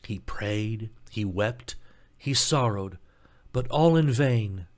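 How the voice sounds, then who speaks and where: A man's voice: on the low side, lively intonation, takes it slowly, darkish tone. A man reading a scripted fairy tale as a storybook narrator, telling it expressively.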